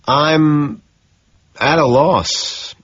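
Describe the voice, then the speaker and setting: Casting: male reader as wealthy businessman, late twenties, jittery and evasive, husky, halting delivery, audiobook dialogue. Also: a little high, fairly bright tone, no room sound, dull audio, pitched above the performer's own voice, full character voice. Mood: nervous, evasive.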